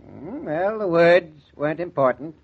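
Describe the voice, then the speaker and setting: On a thin, tinny 1940s radio recording, a pompous small-town committee man. His voice is fairly high and swoops animatedly, hearty and emphatic in the old radio drama style. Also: animated, measured pace, vocal fry, slightly rough, exaggerated voice. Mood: pompous, solemn.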